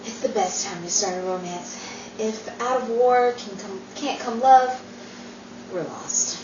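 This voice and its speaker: A woman plays an earnest, romantic young servicewoman in forties radio-drama style. Her voice is fairly high and emotional. The sound is echoey, muffled and noisy. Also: unhurried, recorded from a distance, lightly acted. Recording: noisy, dull, echoey room from afar.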